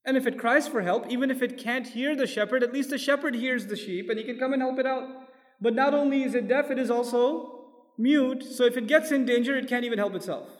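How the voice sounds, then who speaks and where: A man's voice: very high-pitched, brisk. A man teaching a Quran lesson as a sermon, explaining step by step, quoting Arabic verses and putting questions to his listeners. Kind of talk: lecture-style sermon. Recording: long hall reverb.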